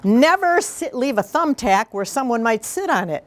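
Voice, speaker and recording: A woman's voice: a little high, big pitch swings, moderate pace, slight background noise. A woman reads as an earnest police officer from a children's storybook. The delivery is instructive and declarative, like safety rules announced to a school.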